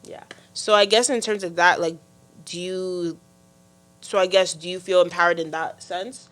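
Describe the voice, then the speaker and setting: A teenage girl with a deep voice, hosting a youth podcast in casual talk, full of fillers and restarts. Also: steady tone, moderate pace, fairly bright tone. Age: teens. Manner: chatty, many fillers and restarts. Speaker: podcast host. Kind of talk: casual discussion.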